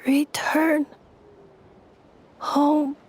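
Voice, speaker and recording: Slow, halting damaged android. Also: female voice, sits high, level tone, a little hiss or hum. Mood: faint, insistent. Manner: halting, faint, detached. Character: damaged female humanlike robot, halting, single-minded. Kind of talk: video game voice acting. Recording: music behind the voice.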